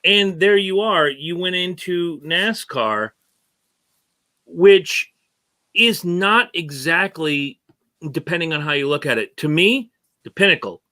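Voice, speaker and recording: A man's voice: a little high, expressive, takes it slowly, bright, forceful tone. A man hosting a casual interview, joking and self-deprecating as he asks questions. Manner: jokey, enthusiastic, self-deprecating. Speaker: podcast host.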